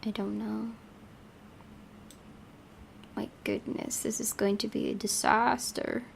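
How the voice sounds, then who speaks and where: A woman's voice: medium pitch, fairly even intonation, slow, vocal fry, slight background noise. A woman hosting a let's play, reading a visual novel aloud and adding casual commentary. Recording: game music behind the voice.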